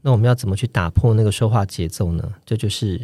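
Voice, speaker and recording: Fast-talking man with Taiwanese Mandarin accent, crisp diction. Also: fairly low pitch, mellow, no room sound. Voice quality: clearly enunciated, standard pronunciation. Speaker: voice actor, podcast guest. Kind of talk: conversational interview answers.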